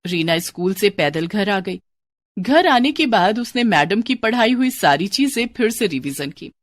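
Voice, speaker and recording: A woman's voice: mid-range voice, animated, unhurried, no room sound, clean studio sound. A woman storyteller reading scripted narration of a moral bedtime story.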